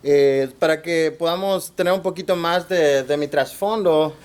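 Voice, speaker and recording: A man with a Mexican accent giving a church workshop talk in short phrases, pausing between them. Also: on the high side, darkish tone, clean tone. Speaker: workshop speaker.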